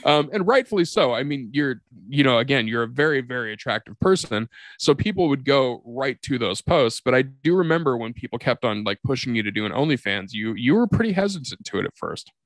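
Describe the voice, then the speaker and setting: A man hosts a podcast in a loose, conversational style, in a medium-pitched voice with a dry, echo-free sound. He swears casually and repeats phrases to drive a point home. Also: expressive, brisk, few pauses. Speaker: podcast host. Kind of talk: casual chat, interviewing.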